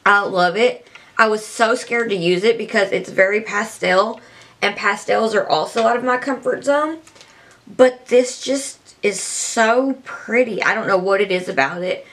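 A woman's voice: medium pitch, a little slow, a bit bright. Chatty young woman with scratchy voice. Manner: chatty, rambling, enthusiastic. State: scratchy voice from allergies. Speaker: beauty vlogger. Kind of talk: casual talk to camera. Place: small indoor room.

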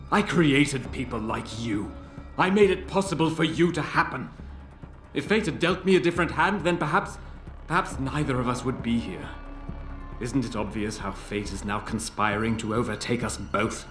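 An actor playing an aging, crippled visionary inventor in a video game, eloquent and theatrical, grandiose, bitter and anguished. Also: male voice, mid-range voice, a bit bright, natural voice. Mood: pleading. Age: older. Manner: eloquent, theatrical, deliberate oratory. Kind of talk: video game cutscene acting. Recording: roomy game audio with faint music.

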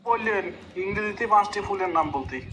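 A man's voice: high voice, normal speed, pushed and bright, slight background noise, low-quality recording. A man voicing a cocky, glib job candidate who gives absurd, contrary answers in a comedy cartoon skit. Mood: cheeky, confident. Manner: glib, literal-minded, contrary.

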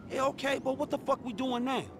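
A man's voice: very high-pitched, little pitch movement, fairly quick. A man playing an irritable, skeptical, foul-mouthed street-raised guy in a video game cutscene, with an American accent and curt, slangy delivery. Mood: doubtful. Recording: clear vehicle and street noise.

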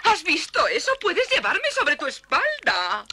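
Haughty cartoon lady beetle, piercing high voice, wildly swooping, demanding. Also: huge pitch swings, a little slow, noisy background, heavily acted. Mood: coquettish, impatient. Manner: haughty, theatrical, fussy. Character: vain, demanding lady beetle, flighty and scolding. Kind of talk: Spanish-language animated series dubbing.

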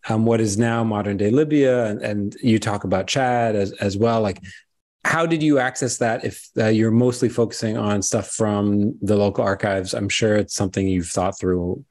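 A man hosting an interview over a video call, asking questions in a relaxed way with some fillers. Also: fairly low pitch, normal speed. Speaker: interviewer host.

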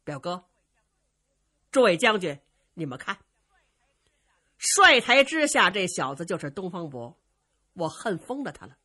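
A woman's voice: on the low side, big pitch swings, measured pace, pauses often, pushed and bright, breathy edge, exaggerated voice. A woman voicing a haughty, vengeful young male warrior in a storytelling tale, swaggering and sneering. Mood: gloating, vengeful.

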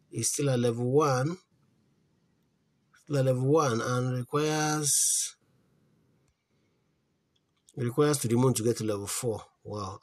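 A man with a husky, somewhat breathy voice narrates a phone screen recording in a loose, unscripted way. He searches through menus out loud, stopping to restart sentences. Between searches he turns earnest and urges his viewers with repeated pleas. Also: medium pitch. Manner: thinks aloud, trailing off and restarting while searching menus. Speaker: crypto app tutorial host. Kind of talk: casual screen-recorded walkthrough. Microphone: voice-over on a phone screen recording.

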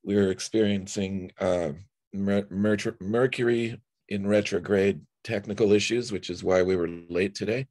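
A man hosting an online event, giving informal introductions in a halting, hesitant way. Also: on the low side, fairly even intonation, a little mellow. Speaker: host introducing poets. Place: online video call.

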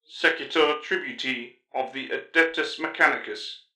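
A man's voice: medium pitch, moderate pace, pushed and bright, roomy sound, faint music behind, voice pushed up, rough recording. A man voicing an aloof, precise cyborg tech-priest who speaks in formal, pedantic recitals. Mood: clinical.

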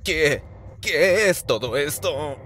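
A man's voice: on the high side, animated, slow pace, fairly bright tone, slight background noise. A man with a Latin American accent voicing a stern, fussy, suspicious male cat in a cartoon dub, terse in manner. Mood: suspicious alarm.

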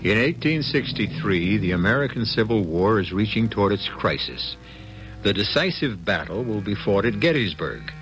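A man narrates a radio mystery as its host. His lowish voice moves expressively, confiding and dramatic, speaking straight to the listener. Music plays clearly behind him. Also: big pitch swings, moderate pace, poor audio.

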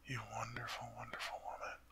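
A man playing a doting young king and husband who stammers and repeats himself when flustered and drops into soft, tender whispers. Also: mid-range voice, creaky, lightly acted. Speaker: ASMR roleplay performer. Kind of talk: ASMR audio roleplay.

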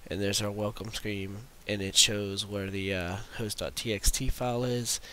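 Casting: male tutorial narrator, low and crisp-edged, informal and unhurried, casual screen-demo delivery. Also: fairly even intonation, bright, forceful tone. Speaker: tutorial presenter demonstrating own script. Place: voice-over for a screen recording. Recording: hissy voice-over recording.

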